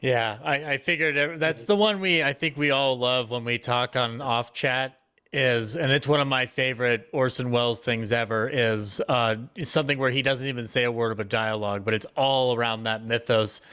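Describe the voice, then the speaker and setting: Man, panelist, medium pitch, earnest, halting phrasing, casual discussion. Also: fairly even intonation, bright, forceful tone, smooth.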